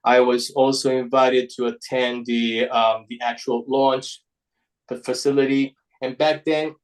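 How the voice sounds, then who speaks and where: A man from Ecuador speaks English at a slow pace with an Ecuadorian Spanish accent. His voice sits at a middling pitch. He presents informally as a guest, halting and restarting words as he goes. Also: level tone, rough recording. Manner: halting, with repeated word restarts. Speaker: guest presenter, paper model designer.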